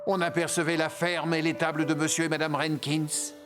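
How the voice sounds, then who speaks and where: A male narrator tells a children's cartoon story in a fairly high voice. His delivery is scripted storytelling aimed at young viewers. Music plays loudly behind him. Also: little pitch movement, fairly quick.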